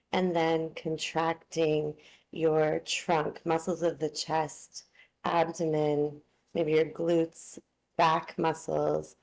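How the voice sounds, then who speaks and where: A woman teaching yoga nidra, leading a guided relaxation in a soothing, unhurried, gently instructing manner. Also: low-pitched, creaky.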